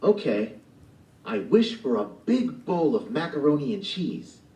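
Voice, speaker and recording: A man's voice: mid-range voice, sing-song, unhurried, warm tone, far from the mic, voice pushed up. A man voicing a cheerful, wide-eyed young man in a storybook play, recorded in a roomy space away from the mic. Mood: eager. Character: eager naive young man hero.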